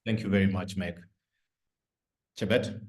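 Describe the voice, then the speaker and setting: Deep-voiced male panel moderator. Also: steady tone, measured pace, darkish tone. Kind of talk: hosting a virtual business panel discussion. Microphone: video call.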